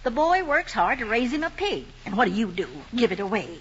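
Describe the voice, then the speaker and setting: A woman's voice: on the high side, big pitch swings, brisk, husky. An actress playing a weary, reproachful country wife and mother in an old-time radio drama. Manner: rustic, reproachful. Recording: hissy lo-fi vintage radio recording.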